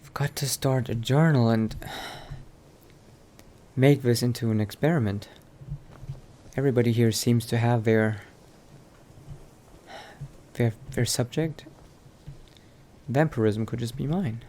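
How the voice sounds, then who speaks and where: A man playing a thoughtful scholar who is turning into a vampire, musing aloud haltingly in an improvised roleplay monologue. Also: medium pitch, warm tone, slight background noise, lightly acted. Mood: intrigued.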